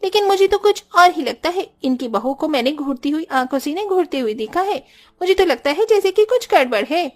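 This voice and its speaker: A woman voicing a sharp, quarrelsome young daughter-in-law in a Hindi cartoon story. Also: high-pitched, animated, a little fast. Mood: suspicious.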